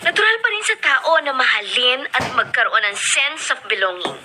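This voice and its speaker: Casting: principled young Filipina radio drama heroine, respectful yet firm, higher-pitched, expressive, noisy recording. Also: female voice, normal speed. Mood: firm, defiant. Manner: polite, firm, code-switching into English. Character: principled young woman, respectful but firm daughter figure.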